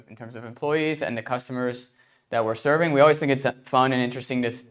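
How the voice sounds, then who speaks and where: A man's voice: medium pitch, a bit bright. A man from the United States with an American accent, hosting an informal webinar in a conversational, hesitant way. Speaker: webinar host.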